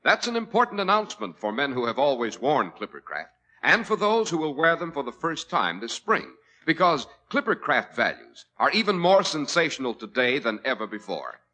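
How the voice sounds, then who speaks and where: An American man announcing in 1940s radio style, with polished, emphatic delivery as host and sponsor pitchman. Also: pushed and bright.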